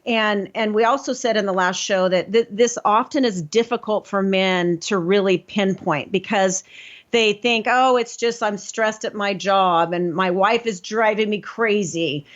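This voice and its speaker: A woman's voice: mid-range voice, a little slow. A woman hosting a health podcast, talking in an emphatic, lecturing way with easy laughs. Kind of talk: casual health talk. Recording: dull lo-fi audio.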